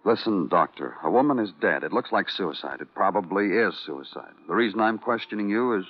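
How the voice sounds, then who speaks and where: A man with an American accent playing a hard-nosed, wry New York police detective in an old-time radio crime drama, terse and deadpan. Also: lowish voice, a little fast. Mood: terse, probing. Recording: muffled vintage radio recording, music behind.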